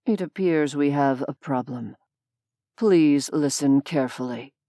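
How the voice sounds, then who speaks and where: A woman's voice: sits low, a little slow, mellow, no echo, pitched below the performer's own voice. A woman voicing a cold, aloof male demon who speaks in a formal, detached way.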